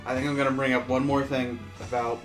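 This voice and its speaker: A man's voice: mid-range voice, level tone, quick pace, low-quality recording. Laid-back young man over game music. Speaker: co-host. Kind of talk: casual gameplay chat.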